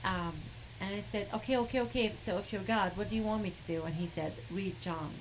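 A woman with an Arabic accent who speaks haltingly, with many fillers, and laughs between sentences as she tells a personal story. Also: medium pitch, a little hiss or hum, poor audio. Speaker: guest sharing a testimony.

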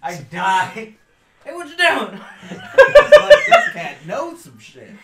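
A man's voice: very high-pitched, slow, reverberant, very noisy. A young man hosting a casual chat, swearing a lot and laughing often as he jokes. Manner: profane, laughing, animated joker. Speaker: podcast host.

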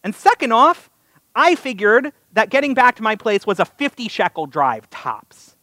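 A man's voice: high voice, big pitch swings, normal speed, bright, forceful tone, no room sound. A man with a North American accent gives a conversational, story-driven sermon as a rabbi, with wry, self-deprecating humour. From North America (US and Canada). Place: live synagogue service.

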